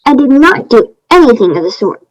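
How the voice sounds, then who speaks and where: A woman's voice: high-pitched, very animated, a little fast, crowd noise. A woman playing a prim, aggrieved fairy-tale woman, matter-of-fact and self-justifying, in readers theater. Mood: aggrieved, firm. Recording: dull, lo-fi microphone sound.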